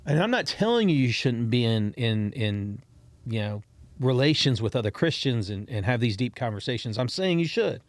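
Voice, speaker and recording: A man's voice: mid-range voice, very animated, moderate pace, dry recording. A man hosting a podcast in casual conversation. He rambles with frequent restarts and repeated words, and speaks encouragingly to his listeners. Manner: encouraging, talks straight to listeners. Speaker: podcast host.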